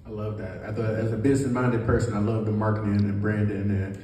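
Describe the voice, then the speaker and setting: A man's voice: fairly low pitch, level tone, fast talker, mellow. A young man hosting a live panel, speaking in a polite, appreciative way to the guest and audience. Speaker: panel host. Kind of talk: hosting a live question and answer session. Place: small indoor meeting room. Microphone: handheld mic, captured by distant camera. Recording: distant, very echoey room, faint noise.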